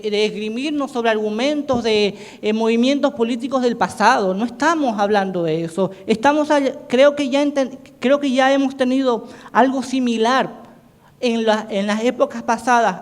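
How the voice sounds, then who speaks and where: A man whose first language is Portuguese gives an academic conference presentation as a panelist, talking haltingly with many restarts and self-corrections. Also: extremely high pitch. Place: conference hall. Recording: reverberant hall sound.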